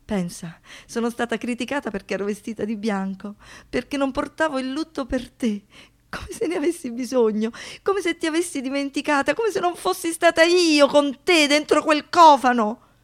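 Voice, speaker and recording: A young woman who has survived something terrible , played by an actress, with a fairly high voice. She confides in dramatic, halting phrases, wounded yet defiant, as in an intimate radio play. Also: lightly acted. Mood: confiding, wounded. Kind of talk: intimate theatrical radio monologue.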